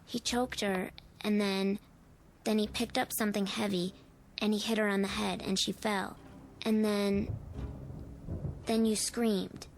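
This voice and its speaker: A woman playing an eerie, knowing little girl in a radio drama, speaking in deliberate, probing short phrases. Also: medium pitch, level tone, slight background noise, lightly acted. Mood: haunting, insistent. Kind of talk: old-time radio drama acting.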